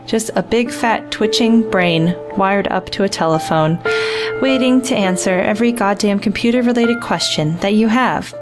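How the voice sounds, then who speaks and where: A woman's voice: mid-range voice, normal speed, music in the background, natural voice. A woman voicing a sardonic, burned-out male tech support worker who rants in a mock-helpful, exasperated way.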